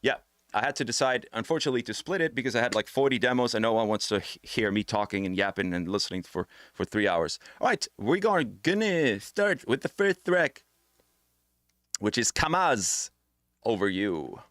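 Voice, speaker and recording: A man's voice: mid-range voice, sing-song, normal speed, a bit bright, no echo, studio quality. A man from the Netherlands hosting a live stream, chatting casually and answering viewers' questions directly. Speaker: music production teacher hosting a demo stream. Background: electronic music playing.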